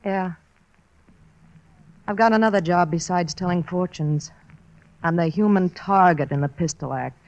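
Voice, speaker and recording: An actress playing a tough, streetwise young circus fortune teller in an old radio drama, curt and slangy. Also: female voice, lowish voice, unhurried, pauses often, a little mellow, a little hiss or hum, rough recording. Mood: hard-boiled, shrewd. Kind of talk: 1940s radio drama acting.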